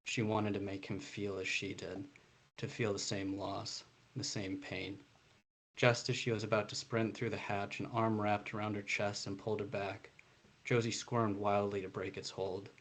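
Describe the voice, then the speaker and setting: A man's voice: lowish voice, flat delivery, normal speed, a bit bright. A man, an author speaking and reading his own story aloud. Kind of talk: reading a prose passage aloud, with some informal introduction.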